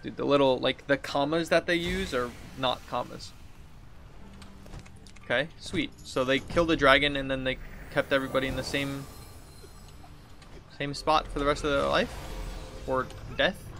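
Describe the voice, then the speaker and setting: Man, casual game streamer, sharp bright voice, mid pitch, playful grumbling, faint music. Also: steady tone, moderate pace, gravelly, pauses often. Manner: playful, easily exasperated. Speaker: gaming streamer. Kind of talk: casual gameplay commentary with exaggerated read-outs.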